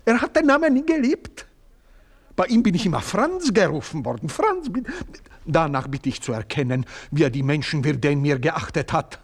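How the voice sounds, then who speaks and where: An actor playing a proud, indignant manservant, speaking in a stilted formal way and bursting into outrage. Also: male voice, a little high, sing-song, moderate pace. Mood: aggrieved. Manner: stilted formal speech, swings into outraged outbursts. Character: proud indignant middle-aged male manservant, pompous and wounded. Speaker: servant. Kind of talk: radio play acting.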